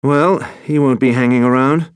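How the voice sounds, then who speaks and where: A man's voice: medium pitch, normal speed, clean tone, dry recording, lightly acted. A male actor playing a suave, unflappable secret agent in a video game, delivering dry, wry one-liners. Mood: wry, cool. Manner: dry, wry, deadpan quips.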